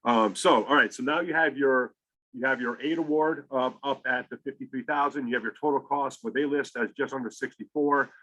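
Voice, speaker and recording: A man's voice: mid-range voice, a little fast, bright, forceful tone, poor audio. A man speaking as a school college counselor, explaining step by step in a conversational way during an informal presentation to parents.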